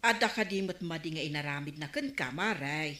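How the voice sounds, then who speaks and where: An actress playing an easily offended, animated village councilwoman in a Filipino comedy radio drama, with an Ilocano accent. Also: deep voice, fairly quick. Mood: aggrieved. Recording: roomy, echoing studio sound.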